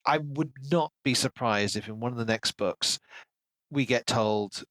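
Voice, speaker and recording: A man's voice: medium pitch, a little slow, fairly bright tone, no echo, poor audio. A man co-hosting a casual book discussion, giving his opinions in an analytical way. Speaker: podcast co-host.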